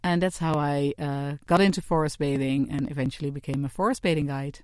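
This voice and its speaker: A woman's voice: low voice, fairly even intonation, fairly quick, darkish tone, no echo, studio quality. A woman from the Netherlands with a Dutch accent, a forest bathing guide telling her story as a podcast guest, over crackly mic static.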